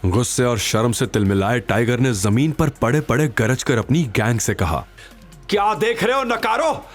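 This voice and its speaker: A man's voice: on the low side, slightly rough. A man narrating a scripted audio story in a dramatic storytelling style. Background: faint background music.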